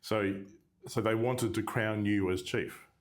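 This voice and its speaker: A man's voice: fairly low pitch, steady tone, slow. A man hosting a casual church interview, prompting his guest with short follow-up questions.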